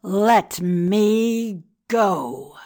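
A proud, scheming priest of ancient Egypt, acted by a woman, speaks very slowly in a low, dark voice. The delivery is grandiose and emphatic, as in a dramatized children's storybook. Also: no room sound, studio quality. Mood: desperate. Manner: grandiose, solemn, emphatic declamation.

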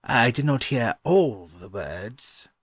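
Gentle, weary, courteous scholar played by a man. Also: mid-range voice, lively intonation, slow pace, breathy edge, no echo, studio quality. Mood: polite, curious. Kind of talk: dramatized children's audiobook.

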